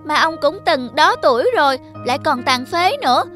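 A woman's voice: high voice, expressive, normal speed, fairly bright tone, soft background music. A woman voicing an arrogant young male talking diploma in a cartoon, smug and taunting. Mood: mocking. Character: boastful, arrogant young male diploma, mocking his elders. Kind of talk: cartoon dubbing.

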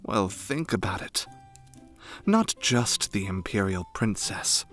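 A man playing a frightening, coldly devoted young noble retainer in an ASMR fan roleplay, speaking formally with measured, haughty menace. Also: fairly low pitch, very animated, a bit bright, faint music behind, heavily acted. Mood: ominous, scornful.

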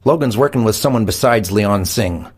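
An actor playing a controlled, calculating intelligence agency boss in a video game, measured and authoritative. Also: male voice, fairly low pitch, husky. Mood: commanding. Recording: music behind the voice.

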